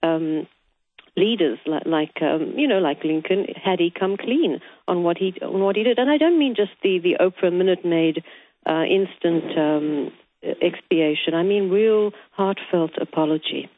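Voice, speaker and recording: A woman's voice: lowish voice, a little slow. A woman who is a guest columnist giving opinions in a radio interview, hesitant and full of ums but emphatic. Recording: dry phone-line audio.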